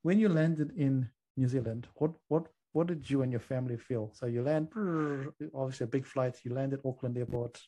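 A man's voice: medium pitch, moderate pace, dark tone. A man interviewing a guest, affirming his answers with enthusiasm before asking the next question. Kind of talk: conversational interview.

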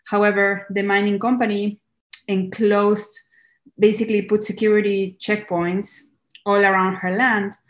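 Earnest woman film director. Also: medium pitch. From Peru. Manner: earnest, restarts words, thinks aloud. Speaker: documentary film director, guest. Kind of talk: podcast interview.

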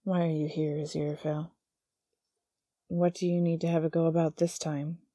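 A woman's voice: low-pitched, level tone, warm tone, no room sound, clean studio sound. A woman doing a drawling male demon. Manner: slow lazy drawl. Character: male demon, lazy drawl, wounded, brooding.

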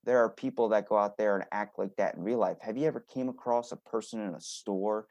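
A man's voice: on the low side, fairly quick. A man hosting a casual podcast chat, talking bluntly with plenty of swearing and acting out bits as he goes. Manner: blunt, profanity-laced, animated re-enacting.

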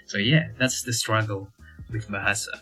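A man's voice: lowish voice, steady tone, unhurried, pushed and bright, faint music behind, low-quality recording. A young man from Malang with a Javanese-tinged Indonesian accent, a podcast guest who chats casually and mixes English into his speech. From Malang, East Java, Indonesia. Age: young adult. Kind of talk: casual chat.

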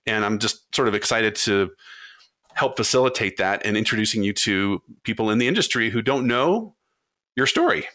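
A man with a fairly low voice hosts a podcast, moving from upbeat promotion into relaxed interview chat. His voice sits close and dry, with no room sound. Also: normal speed, no echo. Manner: upbeat promotional, conversational with fillers. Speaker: podcast host.

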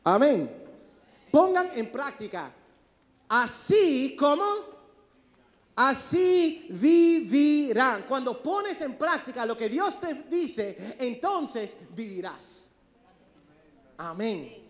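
A man's voice: very high voice, sing-song, drawn-out words, pauses often. A man preaching a sermon, emphatic and calling out to his congregation in a church. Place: church sanctuary. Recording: long reverb of a large hall.

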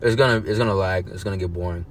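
Laid-back young man, low voice. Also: very fast, slight background noise. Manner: laid-back slang, swearing, dry jokes. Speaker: podcast guest. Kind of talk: casual podcast chat.

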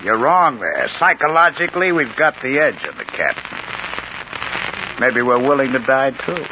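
Noisy, muffled vintage radio audio. A male actor plays a confident criminal mastermind, a man who lays things out methodically and insistently. His delivery swings widely in pitch, in old radio crime-drama style. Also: big pitch swings, normal speed, lightly acted. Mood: confident, calculating.

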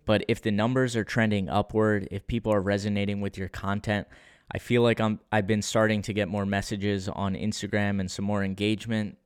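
A young man talks through a solo podcast episode in a flat, unexcited, conversational way, often restarting his sentences. His voice sits low and comes across smooth and clear. The sound is close and dry, with no room around it. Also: brisk, no room sound. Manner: monotone, low-key and unexcited. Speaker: podcast host.

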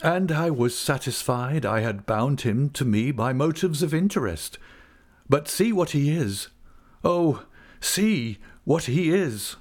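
A man voicing a distraught, self-loathing older gentleman in a story, impassioned and lamenting, with drawn-out words. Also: a little high, lively intonation, pitched above the performer's own voice. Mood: desperate.

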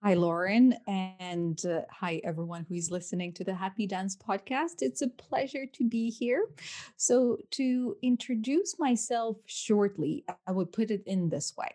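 Lithuanian woman in her 30s, soft mellow tone, chatting as a podcast guest about coaching stuff. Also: medium pitch, measured pace. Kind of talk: conversational interview answers.

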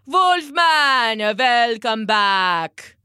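A woman voicing a polite, gloomy male vampire in a storybook reading, speaking slowly and formally. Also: on the high side, sing-song, noisy background, full character voice. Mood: drawn-out.

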